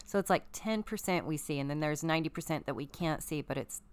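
A woman's voice: sits low, fast talker, clear, resonant tone. A woman co-hosting a casual spiritual commentary show, speaking emphatically as she agrees and explains. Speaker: co-host.

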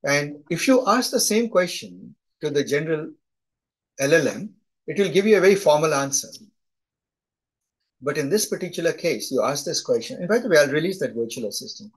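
A man's voice: a little high, lively intonation, fairly quick, slightly rough, rough recording. A middle-aged man with a thick Indian accent, teaching a live class over a video call, chatty and often asking "right?". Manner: conversational, often tags "right?". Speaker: instructor. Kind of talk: live online lecture.

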